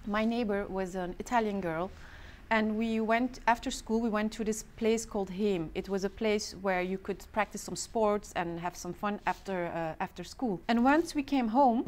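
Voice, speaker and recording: A young woman from Limburg in Belgium, answering interview questions in a thoughtful, measured way. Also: mid-range voice, a little mellow. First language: Turkish heritage, grew up speaking several languages. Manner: thoughtful, measured, switches between languages. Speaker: interview guest.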